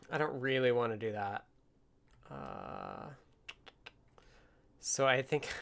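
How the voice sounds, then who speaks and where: A man hosting a casual live coding stream, talking haltingly and half muttering to himself as he works. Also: a little high, creaky. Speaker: livestream host, programmer. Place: indoors at a desk with computer.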